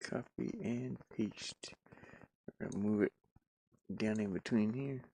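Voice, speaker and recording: A man giving casual, halting live commentary as he works on game art, muttering and thinking aloud. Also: on the low side, fairly even intonation, creaky, mellow, slight background noise, rough recording. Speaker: streamer and hobby game designer.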